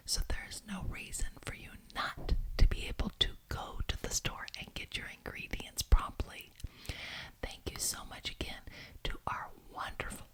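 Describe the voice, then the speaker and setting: A woman playing a gracious but bossy witch who chairs a coven meeting in an ASMR roleplay, speaking deliberately and repeating words for emphasis. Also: natural voice, low-quality recording. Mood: gracious, insistent.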